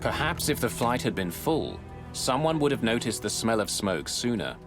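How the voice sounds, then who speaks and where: A man giving scripted, dramatic documentary narration in a measured, grave way, close on a studio mic. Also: medium pitch, very animated. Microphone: close studio voice-over mic. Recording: music bed under narration.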